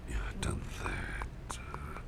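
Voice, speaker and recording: A man playing a gentle, doting businessman boss in an ASMR roleplay, speaking in a soft half-whisper with a tender, reassuring manner. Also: a bass voice, animated, exaggerated voice. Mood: muttering, preoccupied. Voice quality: soft, breathy half-whisper with gravelly fry. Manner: tender, reassuring, unhurried, hesitant murmuring. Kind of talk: ASMR roleplay, personal attention. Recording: clear background noise with ambience.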